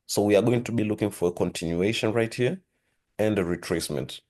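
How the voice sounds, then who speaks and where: A man hosting a trading lesson, talking steadily through charts with a hoarse voice and frequent throat-clearing. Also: on the low side, a little fast. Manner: steady explanatory, repeats "with that being said". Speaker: forex trading channel host. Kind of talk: screen-share trading lesson.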